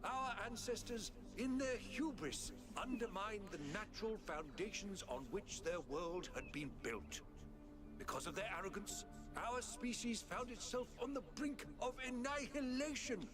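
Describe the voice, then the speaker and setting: A stately, slow-speaking city mayor. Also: male voice, high voice. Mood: solemn. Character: pompous male city mayor giving a speech. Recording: game audio with loud music behind.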